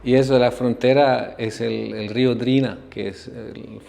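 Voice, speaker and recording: A man from Bosnia giving a slow, unhurried talk on religious history as a spiritual teacher, often ending his phrases with a questioning tag. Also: medium pitch, steady tone, gravelly, mellow, slight background noise. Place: ashram class.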